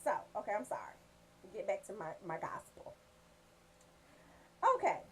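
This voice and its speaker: An American woman hosting a comedic radio show, telling stories in an animated, folksy, profane way. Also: medium pitch, moderate pace, husky. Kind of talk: comedic radio banter and storytelling.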